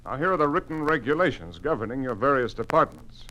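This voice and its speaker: An actor playing a principled, frustrated museum director in a radio drama, speaking formally in a measured, emphatic way. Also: male voice, medium pitch, big pitch swings, sharp tone. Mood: grave, formal. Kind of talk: mid-century radio drama acting. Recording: noisy old radio recording.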